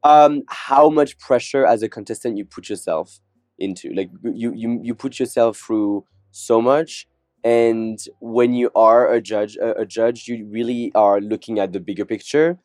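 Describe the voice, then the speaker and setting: A man's voice: medium pitch, a little slow, a little mellow, no room sound, studio quality, soft background music. A man, a podcast guest chatting candidly and jokingly, acting out quotes. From France. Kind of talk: casual interview chat.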